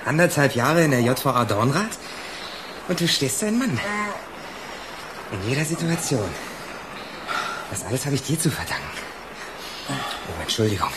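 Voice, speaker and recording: Sneering young ex-convict, very animated. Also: male voice, medium pitch, normal speed, long pauses, echoey room, heavy background noise. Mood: gloating menace. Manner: sneering, sarcastic, bullying. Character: vengeful young ex-convict apprentice, menacing and mocking. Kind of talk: German radio crime drama acting.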